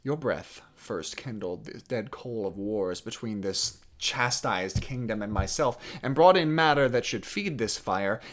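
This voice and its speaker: A man playing a proud, defiant young French prince, declaiming imperiously and indignantly in a classical Shakespearean stage monologue. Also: mid-range voice. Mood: proud, resolute.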